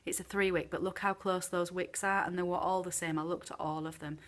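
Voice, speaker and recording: Woman, British accent, lowish voice, casual review, frank, chesty from a cold. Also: fairly even intonation, brisk, a bit bright. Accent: British English. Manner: chatty, frank, many ums. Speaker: candle reviewer. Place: home room with candles burning.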